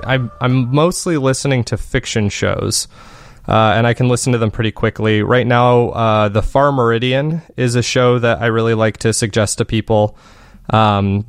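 A man's voice: mid-range voice, clean tone, no echo, clean studio sound. A man talking casually as an interview guest, explaining things in a rambling, self-correcting way. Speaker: podcast creator interviewed as guest.

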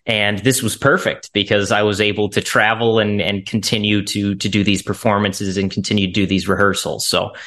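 A man's voice: fairly low pitch, flat delivery, fairly quick, hardly any pauses, a bit bright. A man, an actor being interviewed, explaining things in a casual, straightforward way.

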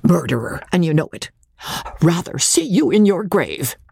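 A woman voicing a bitter, jealous mother who scolds in a sharp, spiteful way. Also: lowish voice, big pitch swings, moderate pace, gravelly, slightly rough, no room sound, clean studio sound, pitched above the performer's own voice, exaggerated voice.

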